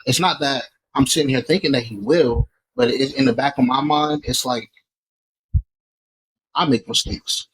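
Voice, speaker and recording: Podcast guest from Florida, husky mid-pitched voice, thoughtful and candid. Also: male voice, moderate pace, fairly bright tone, low-quality recording. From Florida, USA. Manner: reflective, candid, casual cursing. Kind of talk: casual podcast chat.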